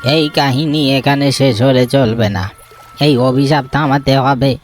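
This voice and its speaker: Man playing a slow, solemn old village uncle. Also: medium pitch, steady tone, faint music behind, voice pushed up, close to an everyday voice. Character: grave older village man recounting an old curse.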